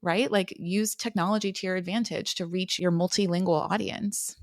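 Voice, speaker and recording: Candid low-voiced woman podcast co-host. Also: moderate pace, dry recording, studio quality. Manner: candid, energetic, swears casually. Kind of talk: casual podcast chat.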